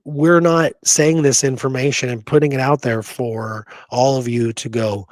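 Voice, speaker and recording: A man with a mid-pitched voice talks history as a podcast co-host. He is informal and emphatic, arguing his points in a conversational way, and the sound is dry and close. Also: steady tone, moderate pace, no echo. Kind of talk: casual history discussion.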